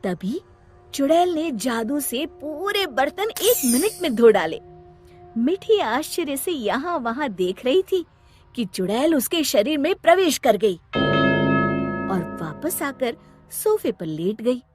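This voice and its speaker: High-voiced woman narrating children's stories. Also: takes it slowly, music in the background. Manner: expressive, dramatic. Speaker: storyteller. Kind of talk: scripted children's story narration.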